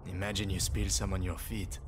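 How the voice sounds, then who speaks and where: An actor playing a blunt, gruff armoured guard in a video game. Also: male voice, sits low, little pitch movement, brisk, background noise, lightly acted. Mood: mocking. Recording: music behind the voice.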